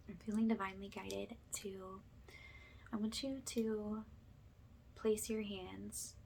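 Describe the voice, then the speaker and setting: A woman speaking softly and breathily, often slipping into a whisper, as she gives slow, soothing, halting guidance in an ASMR energy healing style. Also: medium pitch, a little hiss or hum, rough recording.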